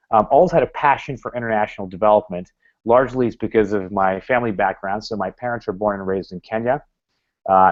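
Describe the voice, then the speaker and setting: A man speaking as a guest at a club, his voice sitting a little low. He rambles, circling back with frequent fillers and restarted phrases. The recording sounds dull and lo-fi. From Canada. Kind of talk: talk to a club.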